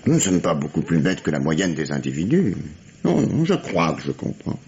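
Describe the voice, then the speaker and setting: Vintage radio police inspector: low register, very mobile intonation, sly and patronising interrogator. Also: male voice, very animated, normal speed, a little mellow, a little hiss or hum. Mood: dry, knowing. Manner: sardonic, teasing, condescending. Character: shrewd, sardonic police detective interrogating a suspect. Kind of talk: 1950s French radio mystery acting. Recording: dull, vintage radio drama sound.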